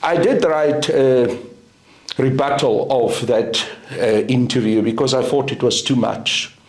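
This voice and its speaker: A middle-aged South African man with an Afrikaans first language speaks slowly and deliberately, leaning on each point. His voice is mid-pitched and recorded in a roomy, echoing space. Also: darkish tone. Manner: deliberate, emphatic, measured. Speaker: guest. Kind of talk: interview answer. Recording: roomy echo.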